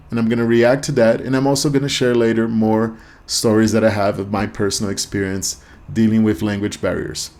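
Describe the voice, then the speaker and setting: A man's voice: mid-range voice, darkish tone. A young man hosting a podcast, reacting in a loose, rambling way with many fillers and restarts. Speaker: podcast host. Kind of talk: casual reaction commentary.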